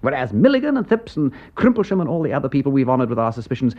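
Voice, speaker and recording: Posh english gentleman sleuth, urbane and keen, voice swoops all over, dull old radio drama sound. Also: medium pitch, big pitch swings, racing. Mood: urgent, persuasive. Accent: upper-class English. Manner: urbane, emphatic, drawling question tags. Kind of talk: British radio drama acting. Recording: dull band-limited radio recording.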